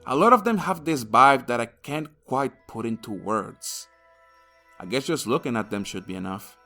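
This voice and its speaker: A man delivers an opinionated video essay as a game reviewer. His medium-pitched voice moves around a lot, lively and expressive. He is crude and sardonic and curses freely, with faint music behind him. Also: normal speed.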